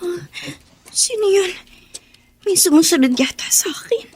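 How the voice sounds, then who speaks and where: A sulky teenage runaway in a radio drama. Her high voice moves in lively swings, stubborn, wounded and wary. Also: a little slow. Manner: sulky, talking to herself. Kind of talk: Filipino radio drama acting.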